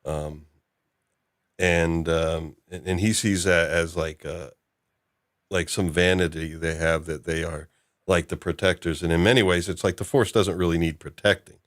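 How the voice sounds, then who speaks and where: Male podcast host, low register, informal and discursive, dry recording. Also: steady tone, moderate pace, a bit bright, dry recording.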